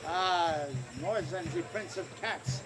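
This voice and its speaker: A man's voice: high voice, takes it slowly, noisy background. A man playing a witty, quarrelsome pirate swordsman, trading playful insults. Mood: mocking.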